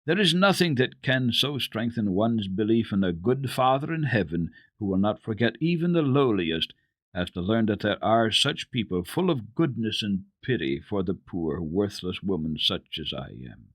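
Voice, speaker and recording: A man's voice: lowish voice, animated, normal speed, no echo, clean studio sound. A man voicing a frail, pious old grandmother in a storybook reading, with a sighing, heartfelt delivery. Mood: moved gratitude.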